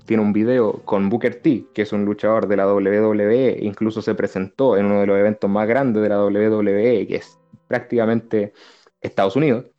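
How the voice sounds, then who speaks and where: A man's voice: fairly low pitch, fairly even intonation, moderate pace. A man with a Chilean Spanish accent chatting casually as a co-host on a talk show. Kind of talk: casual chat. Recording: slightly dull lo-fi audio. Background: background music.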